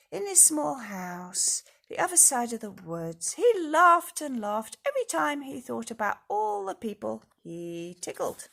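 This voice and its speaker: Woman reading a storybook, husky and creaky. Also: fairly high pitch. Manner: expressive, addresses young listeners directly. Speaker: teacher reading aloud to children. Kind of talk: storybook read-aloud with casual asides.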